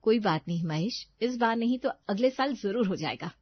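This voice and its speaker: A woman's voice: on the high side, animated, normal speed, breathy edge, dry recording. An affectionate, trusting elder sister from a cartoon story, played by a woman. She speaks with care, on a dry, slightly muffled track. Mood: encouraging.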